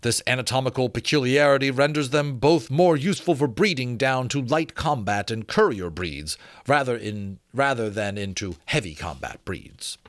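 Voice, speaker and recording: A man voicing a pompous, learned gentleman scholar in a dramatic storybook reading, formal and emphatic as he lectures. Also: mid-range voice, no echo, studio quality, lightly acted.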